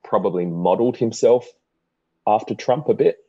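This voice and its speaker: A young Australian man with an Australian accent, chatting as a podcast guest in a relaxed way with dry humor. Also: fairly low pitch, moderate pace, husky, dry recording, highs cut off. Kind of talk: casual interview.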